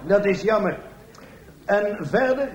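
A man's voice: sits high, slow, pitched above the performer's own voice. A man playing a whiny, bumbling police inspector who exclaims and questions in a comic radio play. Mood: baffled. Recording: echoey room, faint noise.

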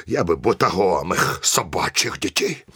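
An actor in a Russian audio play playing a gruff, pompous old village headman who grumbles in a husky voice. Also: low voice, big pitch swings, unhurried, sharp tone, dry recording, clean studio sound, heavily acted. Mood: indignant.

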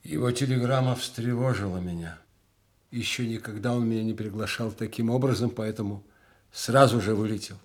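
An actor playing a general's loyal, concerned old friend, measured and courteous, in a Soviet radio play. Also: male voice, lowish voice, close to an everyday voice.

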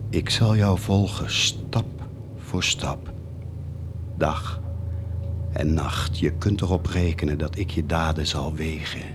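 An actor in a radio drama playing a bitter, accusing betrayed man, speaking gravely and often half-whispering. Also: a bass voice, noisy background. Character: bitter, accusing betrayer who sternly keeps watch.

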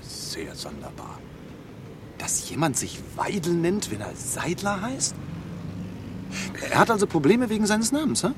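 A fussy refugee man in a radio play, full of his own importance. His pitch leaps about wildly as he halts and exclaims in agitation. A faint noise sits behind the voice. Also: very animated, measured pace, pauses often. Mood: puzzled. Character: fussy, nervy refugee acquaintance, self-important committee man. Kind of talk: German radio drama dialogue.

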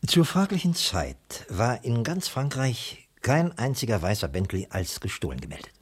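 A man's voice: mid-range voice, very animated, moderate pace, husky. An actor playing a skeptical, money-minded male private detective, sardonic and impatient, in a German radio crime drama. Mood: summing up.

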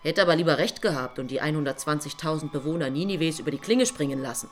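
A coolly mocking angel acted by a woman in a radio play. Her voice sits on the low side, and she teases and taunts with dry irony. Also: fast talker, faint music behind, lightly acted. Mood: taunting. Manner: taunting, ironic.

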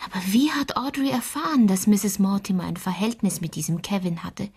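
An actress playing an earnest, inquisitive adolescent girl in a German radio crime drama, with a breathy voice. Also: medium pitch, brisk, a little mellow. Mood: curious, thoughtful.

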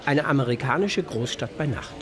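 A man's voice: mid-range voice, lively intonation, normal speed, over music, lightly acted. A man reading scripted narration for a radio play in a factual, report-like manner.

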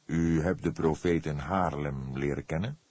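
Man as slow, skeptical old police detective. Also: a bass voice, darkish tone, no echo. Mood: probing. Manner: deliberate, questioning, wry.

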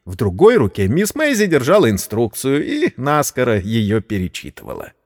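A man's voice: medium pitch, wildly swooping pitch, normal speed, a little mellow, big-room sound. A man narrating a scripted story in a dramatic audio-play style.

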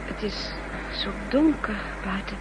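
A woman's voice: medium pitch, animated, measured pace, natural voice. An actress playing a frightened, nervous young lady in an anxious, breathless manner in a 1960s radio drama. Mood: weary, uneasy. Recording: hissy, humming 1960s radio recording.

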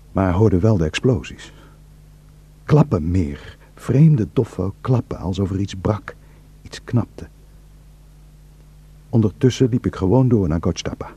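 An actor playing a somber Kurdish shepherd, narrating in a measured, quiet, husky voice for a radio drama. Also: male voice, sits low, heavily acted. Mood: tense, measured.

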